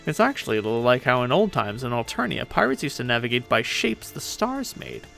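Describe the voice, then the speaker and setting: A man's voice: mid-range voice, sing-song, fairly quick, creaky, a bit bright, soft background music. A man voicing a brash, sarcastic, boastful female pirate ghost in a dramatic story reading. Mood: intent explaining. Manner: impatient, sarcastic, swearing.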